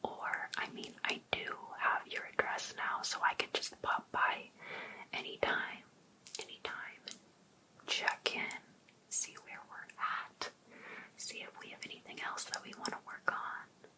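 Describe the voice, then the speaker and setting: A woman playing an obsessive, sweetly pushy life coach in an ASMR roleplay, whispering breathily in a coaxing, deliberate way. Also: lightly acted, low-quality recording.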